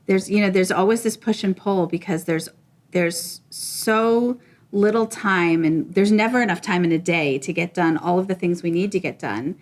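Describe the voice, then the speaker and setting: A woman's voice: fairly low pitch, normal speed. A woman co-hosting a casual professional discussion, thoughtful and wondering aloud.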